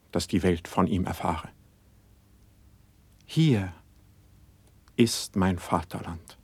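A humble young court poet in a classical radio play. The voice is rather low and husky, recorded very dry. He declaims verse in a solemn, measured way, with fervent feeling. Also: dry recording. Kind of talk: classical verse drama, stage-trained declamation.

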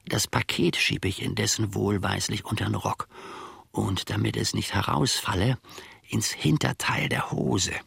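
A grumbling old manservant in a radio play, secretly smug and confiding. His husky voice is heavily creaky and somewhat low, and he spins out his tale slowly and wryly. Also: a bit bright, no echo, clean studio sound, heavily acted. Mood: sly, confiding. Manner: wry, conspiratorial, self-satisfied. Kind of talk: German radio play monologue, storytelling.

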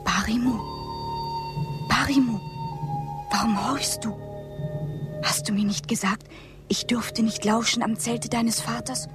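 An actress playing a curious young Comanche woman in a radio drama, hushed and teasing. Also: fairly high pitch, steady tone, takes it slowly, pauses often, music in the background. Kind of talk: German radio drama acting.

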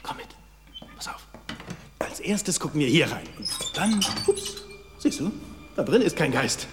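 A man's voice: fairly high pitch, sing-song, measured pace, whispered, in a large hall. A man playing a kindly middle-aged father who is flustered but reassuring in an audio drama. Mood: soothing. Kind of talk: German film audio drama dialogue. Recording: loud music under the dialogue.

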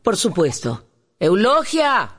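Worried scolding mom, radio soap style, husky, very up and down, emotional. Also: female voice, mid-range voice, wildly swooping pitch, slow pace, fairly bright tone, exaggerated voice. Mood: caring. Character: worried, reproachful mother of a village family. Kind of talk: radio drama acting.

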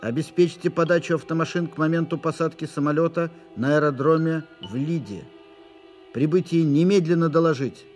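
An actor playing an official who reads out urgent military dispatches in a formal, clipped and emphatic way for an audio drama. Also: male voice, fairly high pitch, a little fast, darkish tone, soft background music, lightly acted. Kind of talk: formal document reading in a Russian audio play.

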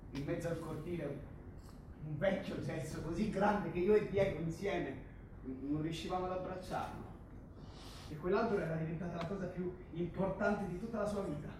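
An actor playing a stubborn, melancholy rugby player of about twenty, terse and subdued in a theatrical stage delivery. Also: male voice, a little high, lightly acted. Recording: very echoey, distant stage recording, faint noise.

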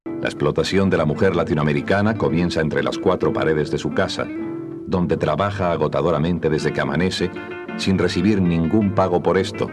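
Radio drama narrator, male actor: solemn, very low register, scripted, guitar underneath. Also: moderate pace, lightly acted. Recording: archival 1980s radio production.

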